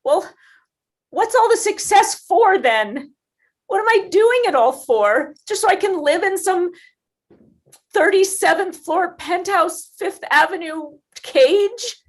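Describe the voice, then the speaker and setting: A woman's voice: extremely high pitch, animated, takes it slowly, exaggerated voice. A woman in an improvised comedy playing a wealthy boss who is passionately in love with her employee and talks in an emphatic, indignant way. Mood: pleading. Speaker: improv performer.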